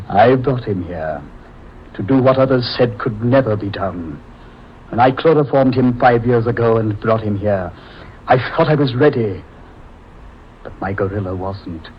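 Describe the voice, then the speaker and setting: An actor playing a sinister, boastful mad scientist in a 1950s radio horror play, theatrical, deliberate and menacingly polite. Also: male voice, lowish voice, animated. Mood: coldly gloating. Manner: theatrical, deliberate, condescending, grandiose. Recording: muffled, noisy old radio recording.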